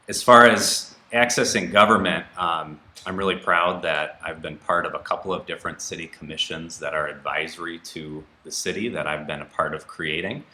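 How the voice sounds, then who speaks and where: A man's voice: rumbling low, sharp tone, echoey room, a little hiss or hum. A man giving a candidate's answer at a public forum. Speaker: city councilor and candidate. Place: indoor TV studio.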